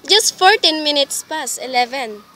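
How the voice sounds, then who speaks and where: A woman's voice: high-pitched, animated, normal speed, bright, forceful tone. A woman playing a polite, formal hospital switchboard receptionist in a radio play. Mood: calm, puzzled. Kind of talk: dramatic radio play acting.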